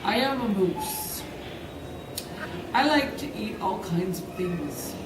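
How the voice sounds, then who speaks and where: A woman voicing a quirky, deadpan moose puppet in a live children's show. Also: mid-range voice, slow pace, voice pushed down. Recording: roomy, distant mic, noisy ambience.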